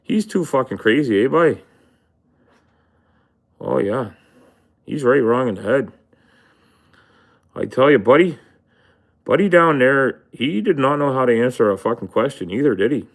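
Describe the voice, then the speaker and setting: A man's voice: medium pitch, expressive, a little slow, long pauses, pitched above the performer's own voice. Man putting on a swearing Newfoundland local. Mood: jeering. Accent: put-on Newfoundland. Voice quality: loud, swearing, ends lines with "eh, boy". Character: gruff profane male Newfoundland local.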